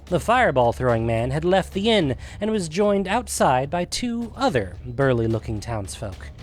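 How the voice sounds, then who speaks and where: A man narrating a scripted fantasy story in a measured, dramatic storytelling manner. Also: on the high side, sing-song, soft background music.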